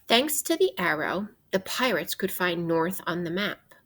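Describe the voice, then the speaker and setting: Female teacher for children's read-aloud: slightly raspy, animated pitch, expressive, guiding delivery with questions. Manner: expressive, guiding with questions and pauses for young listeners. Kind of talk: interactive read-aloud of a children's nonfiction book.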